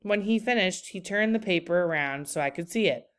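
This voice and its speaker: A woman reading a children's book aloud like a teacher, in an expressive, deliberate storytelling manner. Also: lowish voice.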